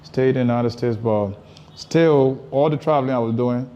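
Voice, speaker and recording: A man's voice: mid-range voice, moderate pace, dark tone, dull audio. A man from Liberia with a Liberian accent, telling his story casually as an interview guest, repeating and rephrasing for emphasis.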